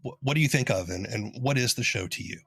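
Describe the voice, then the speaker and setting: A man's voice: medium pitch, expressive, quick pace, gravelly, fairly bright tone, breathy edge, dry recording. A man hosting an interview podcast, asking questions in a conversational style.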